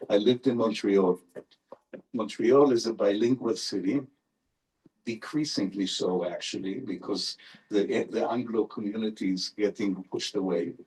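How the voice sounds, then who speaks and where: A man's voice: on the low side, darkish tone, low-quality recording. A man, a professor, answering audience questions in an unscripted academic talk with wry rhetorical questions and emphatic repetition.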